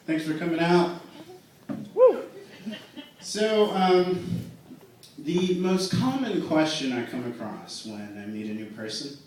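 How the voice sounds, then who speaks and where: A young man in his twenties doing a stand-up routine in a comedy club, telling self-mocking stories in a slow, dry way. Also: on the high side, expressive, background noise. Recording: echoey club room miked from a distance.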